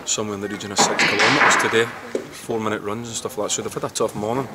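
Middle-aged football manager, halting. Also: male voice, fairly low pitch, steady tone. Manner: halting, repeats words while thinking. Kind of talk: post-match interview. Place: outdoors at a football ground. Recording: loud outdoor background noise.

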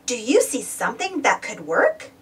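A woman narrating a children's read-aloud storybook in an animated, expressive manner. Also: a little high, normal speed, far from the mic, music in the background.

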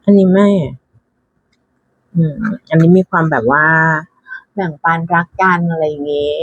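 A woman talks casually through an unboxing. Her voice is fairly low and husky, and she takes her time, thinking aloud with little exclamations and English terms mixed in. The sound is dry. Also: measured pace, no echo, lo-fi sound. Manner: mixes English card names into her talk. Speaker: tarot deck reviewer.

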